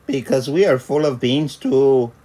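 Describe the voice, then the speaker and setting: A man acts out a little storybook chihuahua, earnest and dramatic. He stretches out his words with emphasis and mock-Spanish flavour, in a lively, unhurried voice. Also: mid-range voice, rough recording. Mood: earnest complaint.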